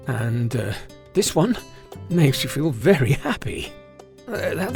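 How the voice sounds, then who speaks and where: Slow, creaky Shen Nong, legendary herbalist. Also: male voice, on the high side, full character voice. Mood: queasy, dreamy. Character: Shen Nong, bold, comical ancient herb-tasting hero.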